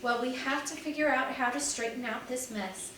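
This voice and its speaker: A woman voicing a kind, sensible landlady who speaks calmly and firmly. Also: high-pitched, normal speed, background noise, natural voice. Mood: reassuring. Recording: echoey room, mic at a distance.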